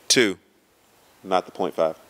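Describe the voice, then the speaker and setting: A man's voice: low-pitched, takes it slowly, fairly bright tone. A man in his thirties answering interview questions with playful jokes and laughing asides, into a handheld mic in an indoor church hall. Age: 30s. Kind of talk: casual joking answers. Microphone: handheld mic held by interviewer.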